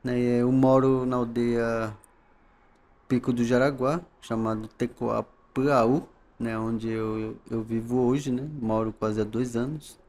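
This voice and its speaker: A man from the coast of São Paulo, Brazil, speaking as a podcast guest in a calm, halting way, often ending phrases with tag questions. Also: mid-range voice. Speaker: guest, knowledge keeper. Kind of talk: podcast interview.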